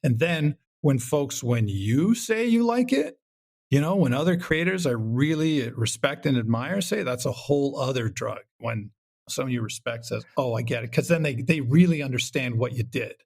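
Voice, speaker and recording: A man's voice: medium pitch, lively intonation, moderate pace, creaky, a little mellow. A man speaking as an interview guest, casual and reflective, thinking aloud and voicing his own imagined questions. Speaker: guest, audio drama creator.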